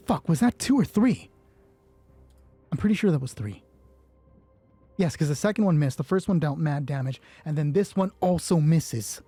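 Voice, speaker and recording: Husky, mellow man running a solo tabletop game. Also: fairly high pitch, no room sound. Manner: thinks aloud, swears casually. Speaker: solo roleplay game master. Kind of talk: casual running commentary on dice rolls.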